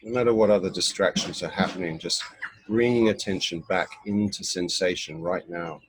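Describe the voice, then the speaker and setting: A man leading a guided body scan meditation in an unhurried, instructional way, pausing between short phrases. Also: low voice, slight background noise.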